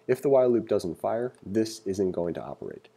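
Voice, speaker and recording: Low, very dark-voiced man teaching code. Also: animated, normal speed, creaky, slightly rough. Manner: casual, thinking aloud. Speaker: coding instructor. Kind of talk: informal tutorial read-along narration.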